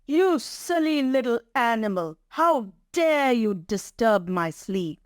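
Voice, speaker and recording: A woman's voice: fairly high pitch, big pitch swings, slow pace, no room sound, studio quality. A woman voicing a proud, haughty male lion who is easily annoyed, in a children's storybook reading. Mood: angry. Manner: scornful, commanding.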